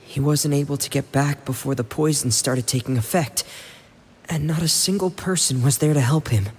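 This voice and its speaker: A performer voicing a shy, loyal teenage younger brother in a video game, soft-spoken, hesitant and sincere. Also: medium pitch, moderate pace, a little hiss or hum, natural voice. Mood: earnest, resolute. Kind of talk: English game voice acting. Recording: music behind the voice. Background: game background music.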